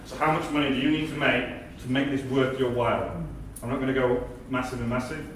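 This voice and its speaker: A man's voice: mid-range voice, fairly even intonation, quick pace. A man giving a sales coaching talk at a workshop, acting out both sides of calls. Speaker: workshop speaker. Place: large echoey event hall. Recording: echoey hall, distant mic, faint hiss.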